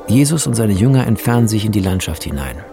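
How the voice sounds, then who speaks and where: A man's voice: on the low side, very animated, fast, vocal fry. A male actor narrating film scene directions for a radio drama in terse, descriptive phrases, with music behind him.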